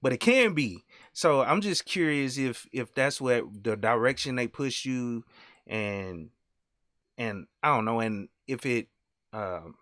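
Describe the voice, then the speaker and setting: An easygoing young man hosting a live tech stream and chatting with his guest. His questions wander and trail off, and he keeps saying "you know what I'm saying." His voice sits at a medium pitch, and the sound is dry and close. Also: animated, slow, fairly bright tone, no echo. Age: young adult. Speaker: host. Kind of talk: casual live-stream interview.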